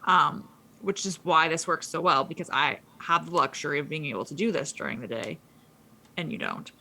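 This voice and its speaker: Bright-voiced chatty woman. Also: low-pitched, lively intonation. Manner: chatty, quick, lots of fillers and restarts. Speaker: co-host. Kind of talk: casual gaming podcast chat.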